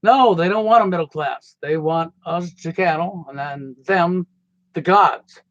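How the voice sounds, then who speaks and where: A middle-aged man from the United States talks in a folksy, digressive monologue, his grammar loose and his delivery conversational. His voice sits a little high for a man. The recording is dry and a touch dull, with a lo-fi edge. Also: unhurried, fairly bright tone, no room sound. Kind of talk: rambling personal monologue. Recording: slightly dull, dry lo-fi sound.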